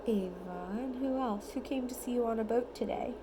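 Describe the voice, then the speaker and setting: A woman reading a bedtime storybook aloud in an expressive, child-directed way, on an amateur home recording. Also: fairly high pitch, moderate pace, background noise. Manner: animated, child-directed, asks the child simple questions.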